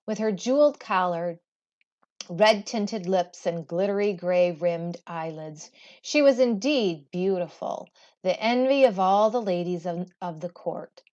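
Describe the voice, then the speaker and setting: A woman teacher reading a storybook aloud with expressive, deliberate, emphatic phrasing. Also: lowish voice, lo-fi sound.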